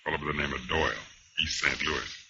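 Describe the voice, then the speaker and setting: An actor playing a laconic American pal with a husky, gravel-edged voice and dry, clipped delivery, in a muffled mid-century radio drama. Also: male voice, a bass voice, quick pace, bright, forceful tone, a little hiss or hum, heavily acted. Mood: wry. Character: laconic, wry male bar-stand pal. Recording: muffled vintage radio recording.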